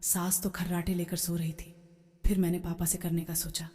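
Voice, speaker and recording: A woman narrates a scripted first-person story. Her voice sits a little low and is very mellow in tone. The recording has a long, hall-like reverb. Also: level tone, normal speed. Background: background music.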